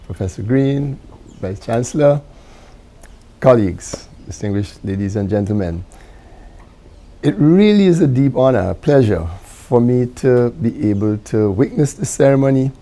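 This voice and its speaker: A man gives a formal ceremonial speech in a creaky, dark voice. He speaks very slowly and hesitantly, pausing often, over faint event noise. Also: fairly low pitch. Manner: measured, hesitant with frequent pauses. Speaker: judge giving tribute speech.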